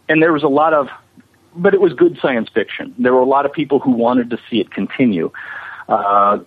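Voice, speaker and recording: A husky-voiced man speaks as a guest in a casual interview, explaining in a self-deprecating, anecdotal manner. His voice comes over a muffled, lo-fi connection. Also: mid-range voice. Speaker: interview guest, special effects animator.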